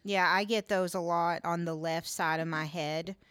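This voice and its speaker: A woman with a deep, smooth voice who speaks slowly and steadily, offering brief personal asides. The sound is close and dry, with no room around it. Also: fairly even intonation, fairly bright tone, dry recording. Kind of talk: casual chat.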